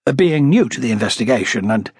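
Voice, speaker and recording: A man voicing a courteous, matter-of-fact male police detective in audiobook dialogue, formal and deliberate. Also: mid-range voice, animated, husky, no room sound, clean studio sound.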